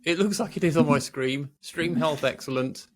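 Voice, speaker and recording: A man's voice: fairly high pitch, moderate pace. A British man co-hosting a podcast with unscripted, casual banter in a jokey, self-deprecating way. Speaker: podcast co-host.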